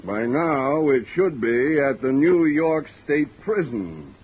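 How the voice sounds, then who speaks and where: Swooping, fussy, husky shipping foreman. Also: fairly high pitch, big pitch swings, slow, heavily acted. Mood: placating, dithering. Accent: American. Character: fussy, soothing, long-winded male shipping foreman. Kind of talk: 1940s comic radio acting. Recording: dull vintage 1940s radio broadcast.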